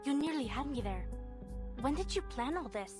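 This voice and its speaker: A questioning accomplice in a video game. Her voice is very high, and music plays clearly behind it. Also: animated, moderate pace. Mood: surprised, curious. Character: woman accomplice, impressed and questioning. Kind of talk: video game character acting.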